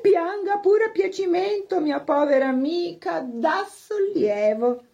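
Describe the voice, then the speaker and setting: A woman's voice: very high voice, animated, takes it slowly, gravelly, warm tone, dull audio, pitched above the performer's own voice, full character voice. A woman voicing an affected, falsely sympathetic society spinster, gushing and drawing out her words theatrically in a storybook. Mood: falsely mournful.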